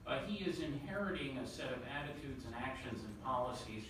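Quick-talking man, echoey distant room audio. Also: medium pitch, animated, background noise. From New York City area. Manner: quick-talking, with many fillers and repeated words. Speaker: panelist. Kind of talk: policy panel remarks. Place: large conference room. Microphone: table mic, recorded from the far side of the room.

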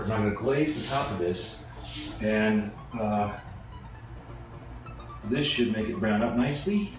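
A man's voice: mid-range voice, a little mellow. A middle-aged man hosting a home cooking show, talking casually and self-deprecating as he works. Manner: self-deprecating, chatty, mock-nervous asides. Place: home kitchen, indoors. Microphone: far camera on the counter. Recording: roomy kitchen echo, distant mic, music behind.

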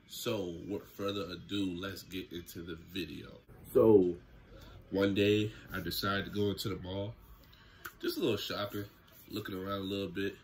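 A young man telling a story to camera in a relaxed, slangy way from a small room at home. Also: deep voice, a little hiss or hum. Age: young adult. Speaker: YouTuber. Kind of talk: casual story time vlog. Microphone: camera close in front, seated.

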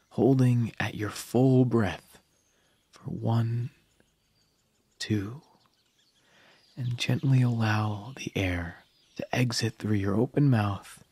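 A man narrating a scripted guided meditation in a calm, soothing manner with long pauses. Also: fairly low pitch, no echo.

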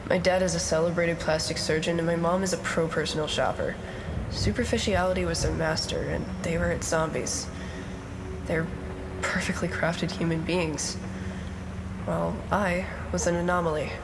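A woman's voice: on the low side, fairly even intonation, normal speed, close to an everyday voice. A woman playing a self-deprecating teenage girl in an audio drama, dry and sardonic. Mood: wry. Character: wry, self-deprecating fifteen-year-old girl, outsider. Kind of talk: first-person audio drama narration and acting. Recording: noisy ambience, vehicle sound behind.

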